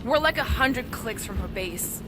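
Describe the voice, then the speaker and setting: A woman's voice: fairly high pitch, lively intonation, a little slow. A woman playing a tough, dry-witted female alien warrior in a video game, speaking curtly. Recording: loud music and game sound behind.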